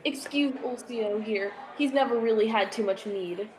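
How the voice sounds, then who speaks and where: Teen girl as a higher-voiced polite traveler. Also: normal speed, a little mellow, close to an everyday voice, rough recording. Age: teens. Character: polite, weary traveling messenger on urgent errand. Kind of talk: student radio theatre acting.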